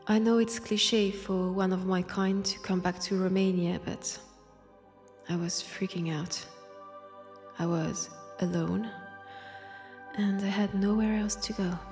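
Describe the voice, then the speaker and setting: A woman's voice: mid-range voice, fairly even intonation, measured pace, darkish tone, faint music behind, natural voice. A woman with a French accent playing a wistful, wry centuries-old vampire in a convent, in an intimate audio roleplay, hesitant and sighing. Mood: uneasy, confessional. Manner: hesitant, sighing, drifting into near-whispers. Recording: long church-like reverb.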